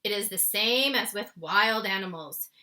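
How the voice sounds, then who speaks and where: A woman voicing a big, kind male giant who mangles his words and exclaims loudly. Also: mid-range voice, unhurried. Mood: patient.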